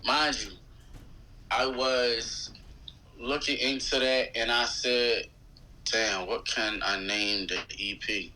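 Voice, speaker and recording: Bright, forceful Philadelphia man chatting. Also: medium pitch, slow pace, poor audio. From West Philadelphia, USA. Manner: colloquial, slangy, animated retelling with quoted dialogue. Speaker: rapper, interview guest. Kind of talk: casual chat, storytelling.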